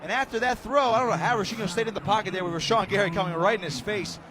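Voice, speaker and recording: An American man doing live radio play-by-play of a football game in excitable, clipped running descriptions. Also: high voice, rapid-fire. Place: press box high above a stadium. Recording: loud stadium noise, outdoor broadcast.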